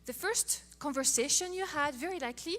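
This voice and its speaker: A middle-aged woman from France with a French accent, giving a playful, animated talk on marriage as a co-host. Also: high-pitched, fairly quick. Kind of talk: casual talk to an audience.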